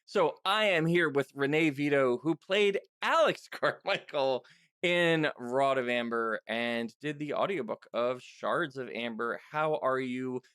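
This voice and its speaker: A man's voice: mid-range voice, fairly bright tone, clean tone, no echo, studio quality. A man hosting a podcast in a casual, conversational way, often stopping and restarting as he thinks aloud. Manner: halting, self-interrupting, thinking aloud. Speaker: podcast host and interviewer.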